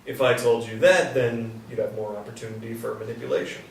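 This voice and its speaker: A man's voice: medium pitch, steady tone, a little fast, gravelly, natural voice. A man playing a sensitive, earnest carpenter in a live reading of a stage play. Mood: guarded, challenging. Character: Thomas, sensitive, earnest male carpenter, defends others. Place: echoey indoor stage or hall. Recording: very echoey room, distant mic, faint hiss.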